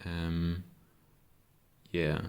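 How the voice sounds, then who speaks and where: A man's voice: low-pitched, flat delivery, very slow, darkish tone. A man from Germany with a German accent, a non-native speaker, casually showing his own circuit board, hesitant with many ums and self-corrections. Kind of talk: casual show-and-tell.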